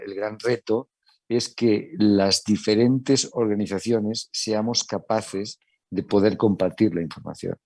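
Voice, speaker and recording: Middle-aged Italian-accented man, panel speaker, mellow and dry sound, stop-start delivery. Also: on the low side, no echo. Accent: Italian, with a Spanish Castilian touch. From Italy. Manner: halting, many restarts, conversational. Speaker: hospital medical director, panelist. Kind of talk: panel discussion.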